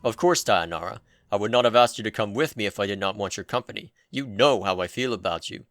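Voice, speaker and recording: A man's voice: on the low side, expressive, fast talker, fairly bright tone, no room sound, studio quality. A man voicing a cold, calculating, dismissive immortal lover from a video game story, in a dramatic reading. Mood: smoothly false.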